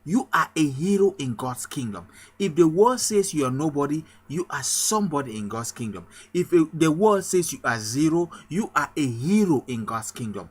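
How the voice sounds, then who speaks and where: Husky Cameroonian pastor preaching. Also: male voice, a little high, animated, normal speed. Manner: repetitive, emphatic rallying cadence. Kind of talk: motivational sermon.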